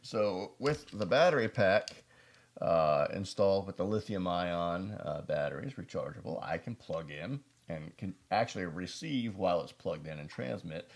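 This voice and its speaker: An American man with a deep voice and plenty of gravelly fry. He talks to his viewers as a casual prepper host reviewing gear. His delivery rambles, with folksy asides and a self-deprecating streak. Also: expressive, moderate pace. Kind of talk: casual product review talk to camera.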